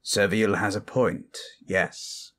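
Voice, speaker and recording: A man voicing a grave, learned monstrous elder in a fantasy audio roleplay, speaking in a gravelly, husky voice with a measured, formal manner. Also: on the low side, steady tone, fairly bright tone. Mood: solemn, measured.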